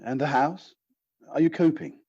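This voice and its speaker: A man's voice: a little high, fairly even intonation, moderate pace, creaky, dark tone, breathy edge. An actor playing a caring, concerned male partner in an audio drama, speaking tenderly on a lo-fi recording. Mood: concerned, affectionate. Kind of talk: naturalistic audio drama acting. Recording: dull lo-fi personal-device recording.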